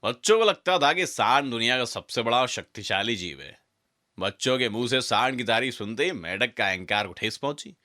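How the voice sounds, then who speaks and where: A man's voice: medium pitch, animated, fairly quick, bright, forceful tone. A man narrating a scripted children's fable as a storyteller.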